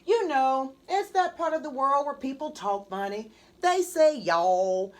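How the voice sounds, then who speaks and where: A woman's voice: sits high, lively intonation, measured pace, a little mellow. A woman voicing an eager, boastful young boy in a children's storybook, exclaiming like a know-it-all. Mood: cocky, teasing.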